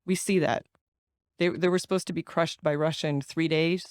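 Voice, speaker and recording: A woman's voice: deep voice, steady tone, normal speed, no echo. A middle-aged American woman who grew up speaking Ukrainian first, answering interview questions earnestly and stressing points by repeating words. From United States. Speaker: guest, patient advocate.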